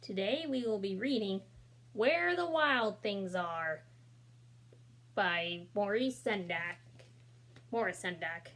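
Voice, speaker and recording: A woman reading a children's picture book aloud, gently talking to young listeners between pages and voicing the characters with expression. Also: medium pitch, takes it slowly, pauses often. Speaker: storybook reader. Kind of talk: children's read-aloud with asides.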